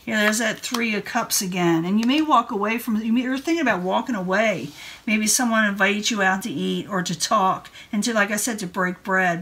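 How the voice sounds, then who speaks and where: A woman's voice: medium pitch, fairly bright tone, slightly rough. A woman giving a casual tarot card reading in an informal, rambling way. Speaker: tarot reader. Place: indoor room at a table.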